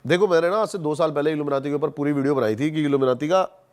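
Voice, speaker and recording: Emphatic, higher-voiced man. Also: rapid-fire. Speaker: podcast guest. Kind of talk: casual podcast chat, storytelling and explaining.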